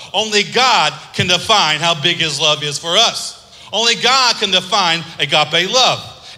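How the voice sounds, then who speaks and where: A man's voice: sits high, pushed and bright, big-room sound. A man preaching a sermon, asking the congregation to repeat words and joking between points. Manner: preaching with call-and-response and humor. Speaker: pastor.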